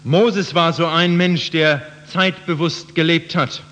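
A man's voice: fairly high pitch, steady tone, slow pace, fairly bright tone. A man interpreting a sermon phrase by phrase for the listeners. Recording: muffled, reverberant hall.

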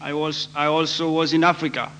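A man from Haiti with a Haitian accent, preaching in short phrases with frequent halting pauses for an interpreter. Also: a little high, flat delivery, pushed and bright. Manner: halting, pausing often. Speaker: guest preacher. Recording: noisy echoey hall with hum.

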